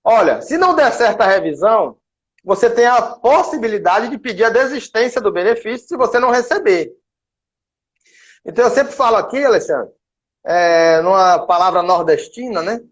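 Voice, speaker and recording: A middle-aged man from Salvador with a softened Bahian accent, a lawyer and professor explaining cases through worked examples in a live stream. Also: high-pitched, animated, normal speed, dull audio. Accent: Bahian Brazilian Portuguese, softened. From Salvador, Bahia, Brazil.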